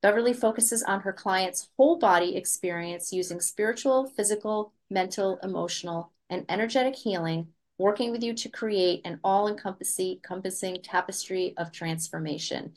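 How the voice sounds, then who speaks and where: A woman's voice: mid-range voice, normal speed, hardly any pauses. A woman hosting a talk radio show, reading a guest introduction and asking questions in an upbeat, emphatic manner. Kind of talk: scripted radio introduction and interview.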